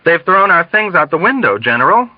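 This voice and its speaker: A man's voice: high-pitched, big pitch swings, a little fast, no room sound, lo-fi sound, pitched above the performer's own voice. A man reading a novel as a deferential male officer, urgent and flustered. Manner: urgent, anxious.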